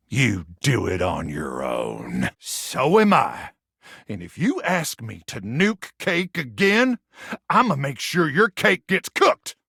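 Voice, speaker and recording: An American man voicing a feral, preachy wasteland soldier in a video game, with a gravelly voice and a folksy, theatrical drawl. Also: high voice, pushed and bright, dry recording, studio quality, heavily acted. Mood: menacing. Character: feral, zealous, bragging wasteland soldier of a household appliance. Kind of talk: video game character voice acting.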